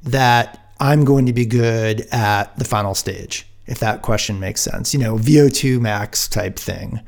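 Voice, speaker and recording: A man's voice: mid-range voice, a little slow, gravelly. A man hosting a podcast, asking long, searching questions full of fillers. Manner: thinks aloud with many fillers. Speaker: podcast host. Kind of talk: interviewing on a podcast.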